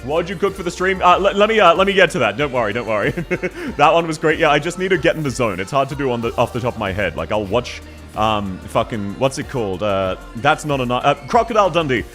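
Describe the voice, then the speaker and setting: A man's voice: medium pitch, lively intonation, fast talker, soft background music. An Australian man streaming live in casual chat, laughing often and thanking viewers one by one. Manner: giggly, thanks viewers warmly.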